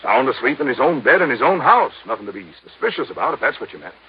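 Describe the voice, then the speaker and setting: A very rough, husky voice through dull old radio sound: a folksy American insurance agent. He is talkative and familiar, his pitch moving freely as in 1950s radio drama. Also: very animated, fast. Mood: earnest explaining. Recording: muffled vintage radio recording.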